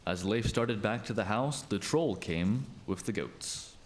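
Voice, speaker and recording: A man's voice: on the low side, a little slow, a little hiss or hum, natural voice. A man playing a storyteller, narrating a scripted folktale. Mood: storytelling.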